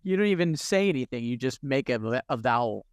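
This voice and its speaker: A man's voice: medium pitch, animated, fairly quick, smooth, dry recording, studio quality. A man co-hosting a podcast, mostly offering brief interjections and affirmations. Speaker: podcast co-host. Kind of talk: casual interview backchannel.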